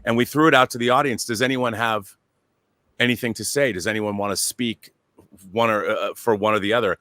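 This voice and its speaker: A man telling anecdotes in a casual, excitable and emphatic way on a pop culture talk show. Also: on the low side, brisk, no room sound.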